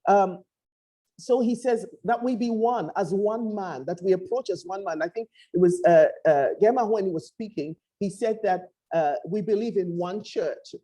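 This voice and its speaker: A woman from Ghana with a Ghanaian accent gives an earnest, reflective sermon-style talk, often pausing and repeating words. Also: mid-range voice, warm tone. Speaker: pastor. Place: home in Accra, speaking to a remote audience.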